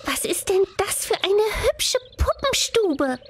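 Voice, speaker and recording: A man's voice: very high-pitched, sing-song, slow, faint music behind, heavily acted. A male actor voicing a sweet, delighted young princess in a children's fairy-tale radio play.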